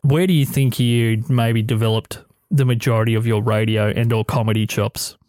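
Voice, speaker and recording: A young Australian man hosting a podcast, his voice at a middle pitch. He talks in a friendly, slightly rambling way and pokes fun at himself. The recording is close and dry, from a radio studio. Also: steady tone, moderate pace, a little mellow, dry recording. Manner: friendly, a bit rambling, self-deprecating. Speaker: podcast host. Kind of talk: interview chat. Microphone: studio mic.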